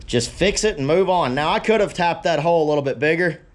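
A man's voice: a little high, animated, fast. A man casually talking viewers through hands-on shop work in an easygoing, self-deprecating way, addressing them as guys. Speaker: DIY metalworking video host. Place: cluttered sunlit forge workshop. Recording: clear power tool and workshop noise. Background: drill and tool noise.

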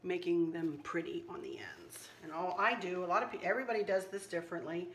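A slightly hoarse woman hosting a casual craft tutorial, chatting and teasing herself as she works. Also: fairly low pitch, a little fast, reverberant, a little hiss or hum. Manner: chatty, self-teasing, talks while working. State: a little hoarse. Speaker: craft channel host. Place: kitchen counter indoors.

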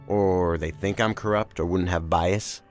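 A man's voice: low-pitched, fairly even intonation, measured pace, soft background music. An actor playing a weary, wry career crime agent in an audio drama, dry and guarded in his talk. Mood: defensive.

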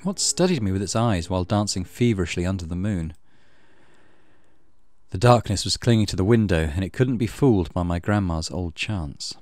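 A man narrates a horror game in a low, expressive voice. His reading is dramatic and varies a lot in pitch, with faint music underneath. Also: moderate pace, dry recording. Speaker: let's play host reading a game aloud.

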